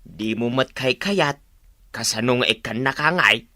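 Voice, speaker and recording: A man's voice: mid-range voice, animated, a little slow, sharp tone, no echo. A man with an Ilocano accent playing a stubborn, smug old husband who teases his wife in a radio comedy-drama.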